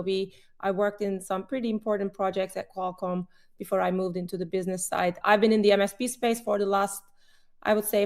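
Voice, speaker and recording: Turkish-accented woman explaining tech calmly. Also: medium pitch. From Turkey. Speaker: webinar panelist, company CEO. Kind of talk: business webinar discussion.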